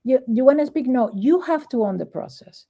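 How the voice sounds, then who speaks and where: A woman's voice: sits high, expressive, fairly quick, mellow. A middle-aged woman from Venezuela with a Venezuelan Spanish accent, a native Spanish speaker, chatting earnestly as an interview guest and repeating her key points. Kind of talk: casual interview chat.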